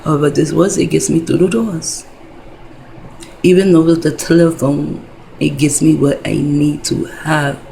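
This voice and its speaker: Woman in her fifties, a dark, raspy-edged voice, for a loose, cursing, wandering vlog monologue. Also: low-pitched, steady tone, measured pace, slight background noise, poor audio. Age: 50s. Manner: wandering, heavy profanity, many fillers. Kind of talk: rambling casual monologue.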